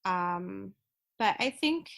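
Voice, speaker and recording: A woman in her thirties talks as a podcast guest, her voice at an ordinary middle pitch. She speaks in a halting, heartfelt way, restarting sentences and reaching for words as she goes. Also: expressive, vocal fry, fairly bright tone. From Florida. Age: 30s. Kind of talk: casual podcast conversation.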